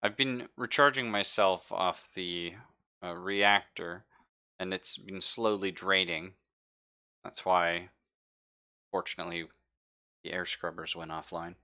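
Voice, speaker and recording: A man improvising as game master of a tabletop roleplaying game, halting as he thinks aloud. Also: low voice, steady tone, bright, forceful tone.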